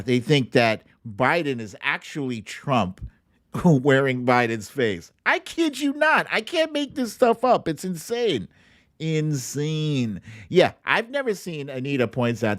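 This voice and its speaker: A man's voice: medium pitch, big pitch swings, unhurried, no room sound, studio quality. A man hosting a casual political commentary livestream in a chatty, incredulous, amused way.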